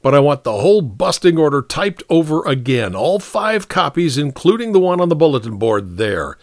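Man acting out a high-strung, bullying army captain. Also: very animated, moderate pace, dry recording, clean studio sound. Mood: stern, irritated. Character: strict, bullying American army captain, short-tempered and proud. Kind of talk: audiobook character dialogue.

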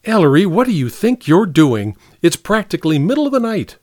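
A man voicing a sharp, jealous young woman in a story, indignant and scornful in manner. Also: mid-range voice, sing-song, a little slow, no room sound, studio quality, pitched above the performer's own voice. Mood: scolding.

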